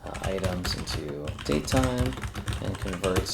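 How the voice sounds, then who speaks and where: A man's voice: sits low, animated, unhurried, vocal fry, heavy background noise. A man talking casually through a coding task as a screencast host, often muttering to himself as he works. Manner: mutters to self while working. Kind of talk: casual think-aloud coding tutorial.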